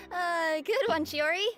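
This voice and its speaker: With music clearly underneath, a modest young courier woman in a fantasy game. Her voice is very high and sweet, and she sounds earnest, hesitant and easily flustered. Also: a bit bright, full character voice. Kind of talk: scripted video game dialogue. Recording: game dialogue over background music.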